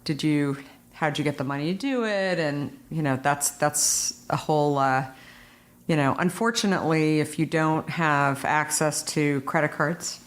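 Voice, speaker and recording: Low-voiced woman podcast host. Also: measured pace. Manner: rambling, heavy use of "you know" and "like". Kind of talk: casual interview chat.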